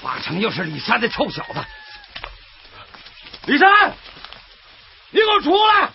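A male actor playing an indignant, tender-hearted bird protector in a Chinese radio drama, excitable and exclamatory. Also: very high voice, unhurried, vocal fry, slight background noise, full character voice. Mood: furious, shouting. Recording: dull radio drama recording with loud music.

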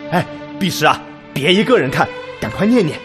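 An earnest, commanding military leader in a radio drama. His delivery is emphatic and oratorical, with big swings in intonation. Music plays clearly behind him. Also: on the high side, big pitch swings, a little fast. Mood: eager. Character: Red Army top commander, authoritative, deliberate, earnest. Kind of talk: Chinese radio drama acting.

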